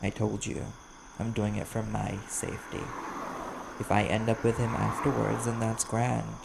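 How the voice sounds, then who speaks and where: A man voicing a wary, desperate vampire bounty hunter in an audio roleplay, blunt and guarded, with a gravelly voice. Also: on the low side, level tone, moderate pace. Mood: defensive, firm. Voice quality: gravelly, creaky. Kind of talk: ASMR audio roleplay acting. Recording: clear background noise, chirping crickets.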